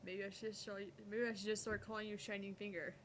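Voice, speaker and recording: A woman's voice: medium pitch, little pitch movement, fast, a little hiss or hum. A woman co-hosting a gaming playthrough, chatting casually and playfully. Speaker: gaming channel co-host. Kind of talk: casual playful chat during voiced playthrough.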